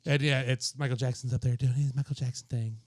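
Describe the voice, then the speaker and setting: A man's voice: mid-range voice, fairly even intonation, quick pace, darkish tone, no room sound, clean studio sound. A tipsy man co-hosting a podcast, chatting in a rambling, crude, joking way. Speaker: podcast co-host. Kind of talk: casual chat.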